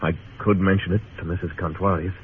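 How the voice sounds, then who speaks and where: A man's voice: low voice, steady tone, fast talker, phone-quality sound, a little hiss or hum, natural voice. An actor playing a tough, world-weary frontier marshal in a radio drama, terse and wry, narrating his own story in hardboiled style. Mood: dry, wry. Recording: thin old radio recording with music.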